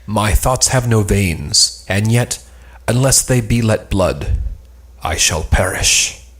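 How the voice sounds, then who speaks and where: A man's voice: on the low side, expressive, slow pace, fairly bright tone. A man playing a lovesick, melancholy young nobleman in a stage play, speaking archaic lines in a lofty, declamatory way. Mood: yearning, aggrieved.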